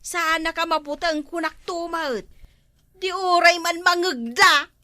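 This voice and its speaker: A woman's voice: very high-pitched, fairly even intonation, a little slow, sharp tone, no room sound. A woman with an Ilocano accent playing a nosy, chatty wife in a comedy drama. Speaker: gossiping wife and mother.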